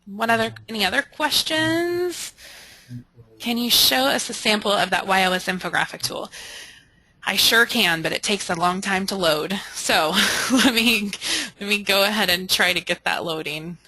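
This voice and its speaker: A woman with a bright, forward voice runs an informal software training session. She sounds close and dry, with little room around her. She answers questions frankly and helpfully, often thinking aloud as she works. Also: mid-range voice, normal speed, dry recording, poor audio. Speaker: software trainer leading a webinar and taking questions. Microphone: conference call or webinar audio.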